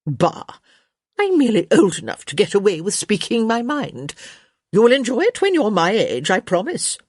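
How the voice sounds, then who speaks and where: A woman voicing an elderly countess, sharp, theatrical and blunt, in a story reading. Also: mid-range voice, sing-song, normal speed, breathy edge, no echo, pitched above the performer's own voice. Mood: bossy.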